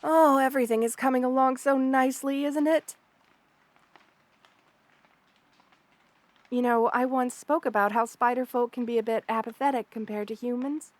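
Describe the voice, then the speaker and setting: Soft, higher-voiced motherly spider queen, acted by a woman. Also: steady tone, lightly acted. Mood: gentle, pleased. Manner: soft-spoken, doting, unhurried reassurance. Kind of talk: ASMR roleplay, addressing the listener. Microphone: close studio mic, dry.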